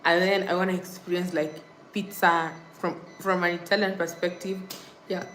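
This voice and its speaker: A woman's voice: fairly low pitch, little pitch movement, moderate pace, a bit bright, slight background noise. A young woman from Kenya hosting a casual livestream, reading viewer comments and repeating thanks and subscribe reminders. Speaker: livestream host. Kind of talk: casual live-stream chat with viewers.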